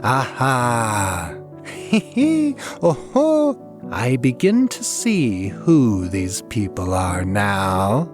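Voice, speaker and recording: A shrill, high-pitched jester dwarf speaks in a slow, theatrical drawl. He is mocking and vengeful, full of maniacal glee, as in a dark storybook tale with music underneath. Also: wildly swooping pitch, exaggerated voice. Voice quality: shrill, screaming. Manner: drawn-out, theatrical, taunting delivery.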